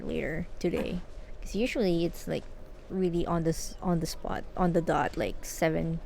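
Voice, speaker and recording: Creaky-voiced woman streaming a game. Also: on the low side, dark tone, a little hiss or hum. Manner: informal, halting, repeats herself. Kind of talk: casual livestream chat and gameplay commentary. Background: game music.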